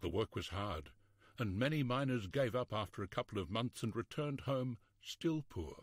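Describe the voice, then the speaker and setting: A man reading a history storybook aloud in a measured, expressive, scripted narration style. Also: medium pitch, no room sound, clean studio sound.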